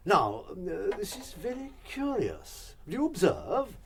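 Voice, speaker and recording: An actor playing an eccentric, self-assured gentleman detective in a radio drama, musing aloud and making deliberate, pompous pronouncements. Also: sits high, wildly swooping pitch, gravelly, heavily acted. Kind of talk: full-cast radio drama acting.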